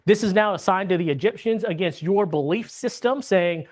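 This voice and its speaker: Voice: mid-range voice, normal speed. Bible class teacher from the States, conversational lesson, keeps checking in with the class and restarting sentences. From United States. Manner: checks in with frequent tag questions; restarts and repeats words mid-sentence.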